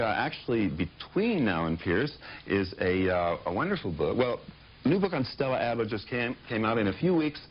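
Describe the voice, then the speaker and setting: A man from Pittsburgh, an author answering interview questions in a thoughtful, hesitant way with many fillers. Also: low voice. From Pittsburgh, United States. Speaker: author and guest. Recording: muffled broadcast audio with faint hiss.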